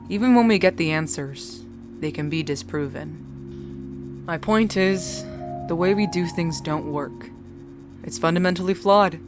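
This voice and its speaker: A woman's voice: sits low, close to an everyday voice. A woman playing a foul-mouthed, sardonic, world-weary young bartender in an audio drama, with a wry and blunt manner. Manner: wry, blunt, heavy casual swearing. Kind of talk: cinematic audio drama acting.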